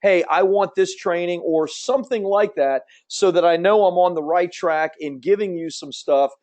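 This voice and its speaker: A man in his fifties with a slight Southern American accent, rattling through a webinar at speed. His voice sits a little high for a man. His delivery is upbeat and salesy, like a pitch to an online audience. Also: normal speed, darkish tone. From Central Florida. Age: 50s. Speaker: webinar host. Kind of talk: fast promotional webinar presentation.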